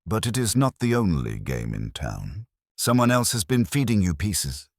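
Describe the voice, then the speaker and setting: A stern, guarded woman investigator in a narrated drama story, read by a man in his very low-pitched voice. She speaks in terse, deliberate phrases with controlled anger. The intonation swings widely and the sound is dry. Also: very animated, no room sound, full character voice.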